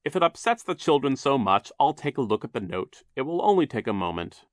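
A man voicing a fussy, well-meaning but obtuse gentleman in a storybook reading, fretful and patronizing. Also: mid-range voice, sing-song, a little fast, no room sound, clean studio sound, voice pushed up, natural voice. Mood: fussy concession.

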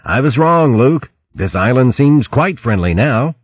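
Man as a hearty, husky mouse sailor. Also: mid-range voice, animated, unhurried, muffled recording, voice pushed up. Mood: relieved, trusting. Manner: plain-spoken, earnest seafaring talk. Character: hearty, trusting male mouse sailor, first mate.

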